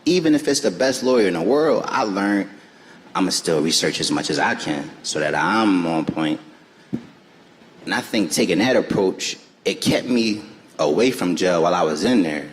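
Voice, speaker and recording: A man's voice: deep voice, lively intonation, normal speed, big-room sound. A man speaking as a guest on a live festival panel, earnest and emphatic, with informal phrasing. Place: festival stage before an audience.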